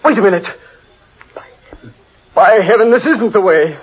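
An actor playing a frightened, agitated man lost in a dark forest in a 1940s radio horror drama. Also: high-pitched, animated, a little slow, husky, exaggerated voice. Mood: uneasy, insistent. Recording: muffled old radio recording, music behind.

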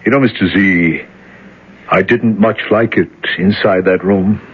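A man's voice: sits low, measured pace, husky. An actor playing an uneasy male guard who asks plain, curious questions, in a 1970s radio drama. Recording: tinny old radio recording.

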